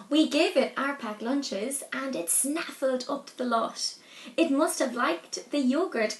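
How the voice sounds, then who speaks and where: High-voiced woman reading slowly, echoey room. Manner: deliberate, animated delivery for young children. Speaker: storyteller reading aloud to children. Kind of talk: expressive picture-book read-aloud. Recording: echoey, roomy sound.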